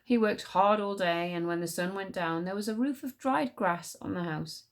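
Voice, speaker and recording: A woman's voice: medium pitch, moderate pace. Woman reading a folk tale aloud, expressively. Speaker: teacher reading stories aloud. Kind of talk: expressive storybook read-aloud.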